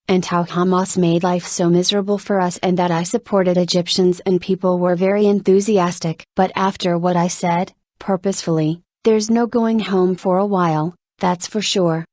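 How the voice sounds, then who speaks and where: A woman reading an interview transcript aloud in an even, measured delivery. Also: fairly low pitch, no room sound, clean studio sound. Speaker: reader of an interview article.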